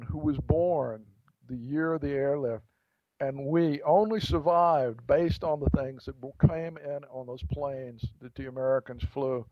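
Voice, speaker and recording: A man's voice: mid-range voice, no room sound. Reflective man telling a story, muffled line. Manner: reflective, with pauses and restarts. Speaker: author guest. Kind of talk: interview storytelling.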